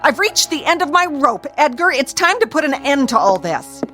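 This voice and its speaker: A domineering, sharp-tongued wife, acted by a woman in a radio play. Her voice sits fairly high and swings widely as she bosses and mocks, exasperated and sarcastic, with music faintly behind. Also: sing-song, normal speed, full character voice. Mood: fed up. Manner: scornful, bossy, exasperated. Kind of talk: radio drama acting.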